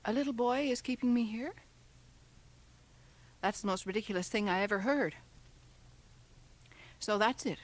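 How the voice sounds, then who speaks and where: A woman acting a fragile, deluded older mother in a radio play. Her voice is high and emotional, and she pleads and accuses in short, repeated phrases. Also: normal speed, pauses often, natural voice. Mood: suspicious, accusing. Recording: 1980 radio drama on cassette.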